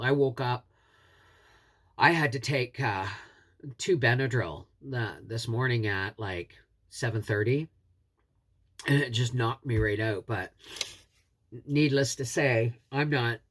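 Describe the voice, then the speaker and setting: A middle-aged woman with a head cold and a scratchy throat, a homesteading host chatting earnestly to camera. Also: extremely low pitch. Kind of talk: casual talk to camera.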